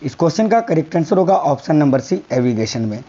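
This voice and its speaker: A hoarse man teaching a quiz-style science lesson for exam prep, repeating each answer and telling viewers to remember it. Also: on the high side, very animated, fairly quick, mellow, highs cut off. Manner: repeats answers, coaxing "याद रखिएगा".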